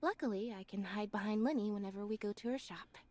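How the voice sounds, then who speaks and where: A woman playing a reserved young female magician's assistant in a fantasy video game, speaking softly in a measured, understated way. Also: medium pitch, close to an everyday voice. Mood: matter-of-fact. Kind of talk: scripted video game character voice lines.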